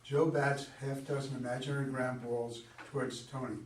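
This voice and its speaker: A man's voice: mid-range voice, level tone, moderate pace. A man reading stage directions as narrator at a staged play reading. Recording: echoey room, far from mic, background noise.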